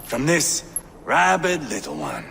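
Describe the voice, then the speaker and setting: A gravelly, sneering Viking warlord. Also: male voice, fairly high pitch, wildly swooping pitch, slow, big-room sound, noisy background, exaggerated voice. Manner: sneering, menacing taunts. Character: cruel, mocking Viking warlord. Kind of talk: video game cutscene acting.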